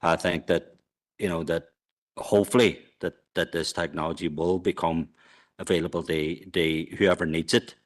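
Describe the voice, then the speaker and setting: Very deep-voiced councillor, halting. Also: male voice, steady tone. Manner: halting, repetitive restarts. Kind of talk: speaking at a council meeting.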